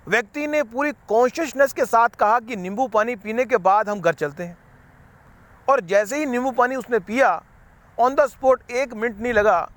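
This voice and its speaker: A man's voice: very high-pitched, fairly bright tone. A man giving an unscripted spiritual talk, rambling and repeating himself, slipping English words into his sentences. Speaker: spiritual speaker.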